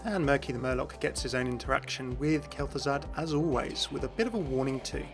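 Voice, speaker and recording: A man hosting a gaming video with scripted lore narration. His voice is mid-pitched, with music playing faintly underneath. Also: fairly quick.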